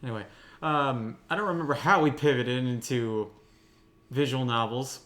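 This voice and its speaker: A man's voice: mid-range voice, moderate pace, fairly bright tone. A man hosting a casual roundtable discussion, with hesitant phrasing and wry, self-amused asides. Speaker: host of a roundtable podcast.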